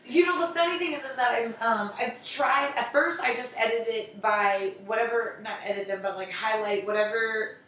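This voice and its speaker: Bright-voiced woman, echoey and distant. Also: on the high side, moderate pace, hardly any pauses. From United States. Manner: chatty, swears casually, laughs often. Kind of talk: casual chat. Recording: echoey room from far off.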